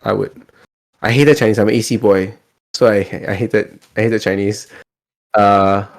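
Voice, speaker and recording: A man's voice: on the low side, fairly even intonation, normal speed, gravelly. A Singaporean man chatting casually as a podcast host, talkative and often repeating his words as he goes.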